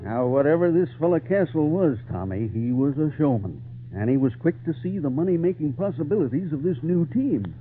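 Old radio drama narrator guy acting, telling a story, bit high pitched, tinny with some music under. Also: lively intonation, a little fast, natural voice. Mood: narrating. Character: male storyteller recounting circus adventure to a boy.

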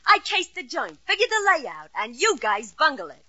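A woman's voice: high voice, wildly swooping pitch, normal speed, bright, forceful tone, exaggerated voice. An actress playing a short-tempered female gangster boss in an old radio comedy, snarling slangy orders. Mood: angry, threatening. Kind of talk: 1940s-50s radio comedy acting. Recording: dull old radio recording.

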